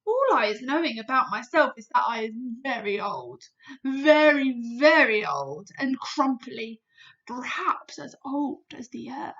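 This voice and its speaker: A woman reading aloud, giving voice to a big friendly old giant who mangles his words with made-up ones, loud and booming and swooping all over a high register. It comes through a dull, lo-fi recording. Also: lively intonation, unhurried, exaggerated voice. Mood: earnest.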